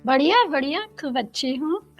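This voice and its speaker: An older woman from India, a guest in an interview, speaking in a slow, thoughtful way and mixing in English phrases. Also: sits high, animated, dry recording, soft background music.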